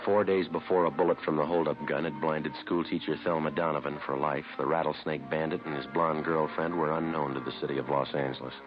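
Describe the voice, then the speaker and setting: An American man playing a terse, businesslike police detective in an old radio crime drama, speaking in a deadpan, matter-of-fact way. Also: rumbling low, fairly quick, hardly any pauses. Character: terse, businesslike male police detective narrating his case. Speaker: detective narrator. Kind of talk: 1950s radio crime drama, clipped narration. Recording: muffled vintage radio recording, music behind.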